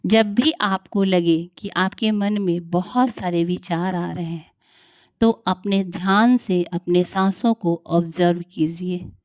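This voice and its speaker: A woman leading a guided meditation in a calm, soothing, unhurried way with long pauses. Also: fairly low pitch, dry recording, highs cut off.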